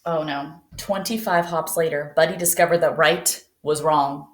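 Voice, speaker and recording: A woman's voice: low voice, steady tone, moderate pace, reverberant. A woman teacher reading a picture book aloud expressively and putting questions to young listeners.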